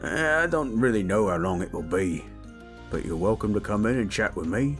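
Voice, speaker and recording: A man voicing a big, gentle, chatty orc in a soothing roleplay audio, with a folksy, rambling, rustic way of talking. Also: lowish voice, expressive, normal speed, faint music behind, close to an everyday voice. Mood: chatty, musing. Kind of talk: soothing character roleplay audio addressed to listener.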